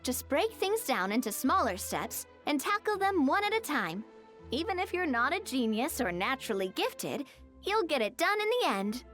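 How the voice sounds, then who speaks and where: High, lively young mentor. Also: female voice, normal speed, over music. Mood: reassuring. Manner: cheerful, reassuring, articulate. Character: upbeat, encouraging young businesswoman and park mentor. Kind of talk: video game voice acting.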